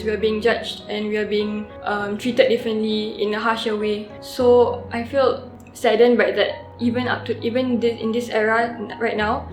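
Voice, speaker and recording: A teenage girl, giving earnest, thoughtful interview answers as a student. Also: fairly high pitch. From Singapore. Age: teens. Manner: earnest, thoughtful, with frequent restarts. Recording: roomy sound with soft background music.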